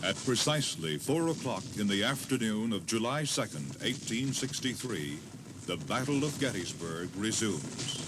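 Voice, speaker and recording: A man narrating history from a script in a solemn, measured, dramatic way. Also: on the low side. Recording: very noisy old cassette tape.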